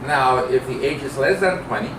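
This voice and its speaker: A middle-aged man teaching programming in an informal lecture, speaking haltingly as he thinks aloud while demonstrating. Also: medium pitch. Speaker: programming instructor. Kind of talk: informal classroom lecture with live coding demo. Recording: roomy, distant mic, clear background noise.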